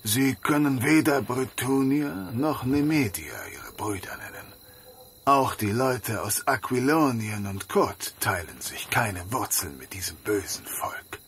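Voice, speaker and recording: A jovial, sly prince of thieves in a fantasy audio drama. He talks in a conspiratorial, theatrical way, unhurried yet animated, with a bright edge. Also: mid-range voice, a little hiss or hum. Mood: conspiratorial, boastful. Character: big-bellied, boastful, sly, jovial master thief.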